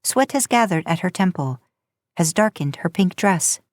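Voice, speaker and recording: Female story narrator for podcast fiction, lower register, close dry recording. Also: animated, moderate pace, no room sound.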